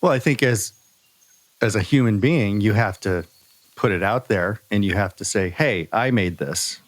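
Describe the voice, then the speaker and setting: Low-pitched man, earnest and conversational. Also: moderate pace, no room sound. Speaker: podcast host. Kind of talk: casual conversational discussion.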